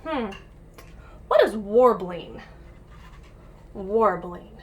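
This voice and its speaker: A woman's voice: medium pitch, lively intonation, drawn-out words, darkish tone, a little hiss or hum. A woman teacher reading a picture book aloud to young pupils, addressing them directly and encouragingly with questions and asides, on a home-made recording.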